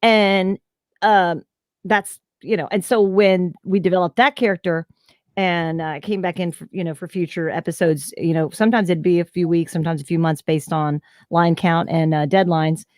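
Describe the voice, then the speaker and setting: A woman's voice: fairly low pitch, steady tone, moderate pace, a little mellow, no echo, clean studio sound. A woman voice actor, telling stories in a casual interview over a video call. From Southern United States. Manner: chatty, storytelling, lots of fillers. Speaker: voice actor guest.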